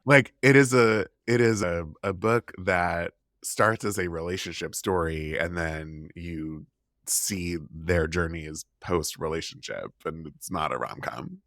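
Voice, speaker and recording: A man's voice: low voice, unhurried, a bit bright, no room sound, clean studio sound. A man hosting a casual interview podcast, encouraging and reassuring as he prompts his guest. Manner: encouraging, reassuring, frequent brief acknowledgements. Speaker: podcast host.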